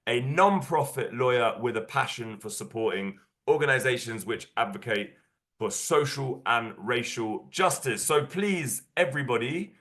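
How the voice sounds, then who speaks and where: A man with a croaky voice from a cold, hosting an online workshop over a video call in a casual way with lots of fillers. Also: medium pitch, a bit bright. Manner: casual, filler-heavy, upbeat. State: hoarse from a cold. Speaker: workshop host.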